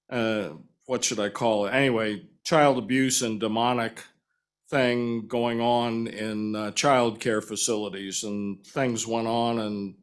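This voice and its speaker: A man giving reflective committee testimony, telling a story and searching for words. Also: mid-range voice. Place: legislative committee hearing room.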